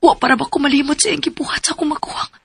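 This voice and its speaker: An actress playing an anxious, distrustful young woman in a Filipino radio drama, with a Cebuano accent and a husky, creaky voice. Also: high-pitched, steady tone, very fast, no echo. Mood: brooding, suspicious. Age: young adult. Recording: music bed under the voice.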